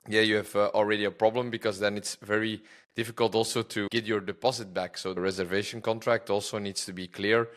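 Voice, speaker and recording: A man's voice: fairly low pitch, little pitch movement, fast talker, fairly bright tone. A man from Belgium with a Belgian Dutch accent, hosting a podcast and chatting with hesitant, non-native phrasing. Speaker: podcast host. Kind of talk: podcast interview, casual chat.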